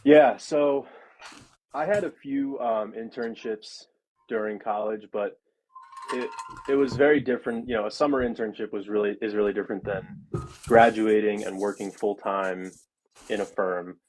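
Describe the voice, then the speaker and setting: A man in his early thirties, a guest architect, talks through his career in a loose, rambling style. His voice sits on the low side, and his sentences are sprinkled with "like" and "um". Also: fairly even intonation, normal speed, a little mellow, a little hiss or hum, poor audio. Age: 30s. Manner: chatty and rambling, lots of "like" and "um". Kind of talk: casual interview talk.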